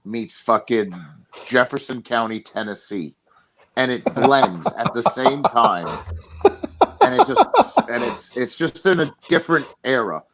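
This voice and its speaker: A man's voice: medium pitch, fairly bright tone. A man hosts a casual podcast and rambles through long, loose tangents, swearing freely as he goes. His voice sits in the middle of the male range.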